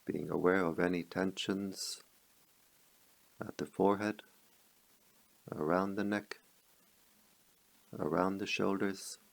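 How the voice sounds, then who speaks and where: A man guiding a meditation as a teacher, speaking calmly in measured short phrases with long pauses. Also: low-pitched, creaky, low-quality recording. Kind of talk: guided meditation.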